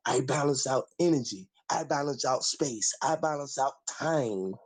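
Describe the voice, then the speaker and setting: A man's voice: medium pitch, lively intonation, measured pace, breathy edge. A man in a put-on Caribbean island accent, rambling and boasting in profane casual chat on a call-in show.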